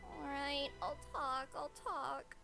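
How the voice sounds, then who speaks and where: A woman's voice: high-pitched, steady tone, slow pace, creaky, sharp tone, exaggerated voice. A woman voicing an eager, flustered young cat-spirit courier in a video game, bubbly and apologetic. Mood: explaining. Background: game music.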